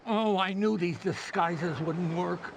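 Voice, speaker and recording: A man voicing an excitable, gleefully evil talking bubble villain in a cartoon game, with theatrical delivery. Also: high-pitched, takes it slowly, gravelly, noisy background, full character voice. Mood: dry. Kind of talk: video game cartoon voice acting.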